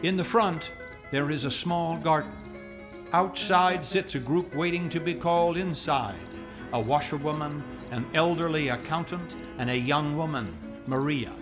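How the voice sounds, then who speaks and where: A man narrating a radio play as announcer, in a measured, formal old-time radio manner. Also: on the high side. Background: plucked guitar music.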